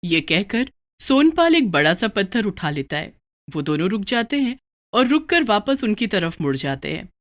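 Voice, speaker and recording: A woman's voice: mid-range voice, sing-song, normal speed. A woman storyteller reading scripted narration for a children's moral tale.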